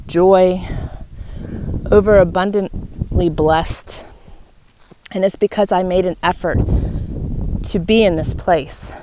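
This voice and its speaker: A woman hosting a motivational, faith-led podcast monologue, speaking earnestly and encouragingly, with emphatic repeated phrases. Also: mid-range voice. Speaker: podcast host. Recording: clearly noisy background.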